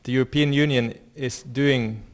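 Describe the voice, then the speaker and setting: A man's voice: mid-range voice, fairly even intonation, normal speed. A young man hosting a group discussion, putting questions to participants and inviting them to speak. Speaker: talk show host. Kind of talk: moderating a discussion. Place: medium indoor room with seated audience.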